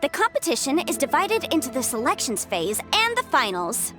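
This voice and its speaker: Young game announcer, high voice, very animated, formal yet showy, polished, music faintly behind. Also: female voice, moderate pace. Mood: formal, proud. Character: bright, polished young female official hosting a contest. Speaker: host and officiator. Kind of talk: video game voice acting.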